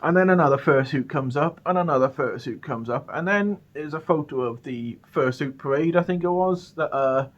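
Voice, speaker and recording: A man's voice: a little high, moderate pace, few pauses, lo-fi sound. A British man telling a personal story casually, often stammering and repeating his words as he goes. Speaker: storyteller, channel host.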